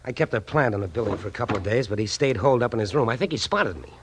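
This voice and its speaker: An actor playing a worried male detective in a 1940s radio drama, talking in a quick, tense, confiding way. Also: lowish voice, lively intonation, a little hiss or hum, close to an everyday voice. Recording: old radio broadcast.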